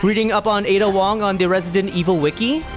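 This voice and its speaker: A man's voice: high-pitched, fairly even intonation, fast talker, over music. A man giving casual, playful commentary as he plays a video game, often repeating his phrases. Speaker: gaming streamer playing and reacting.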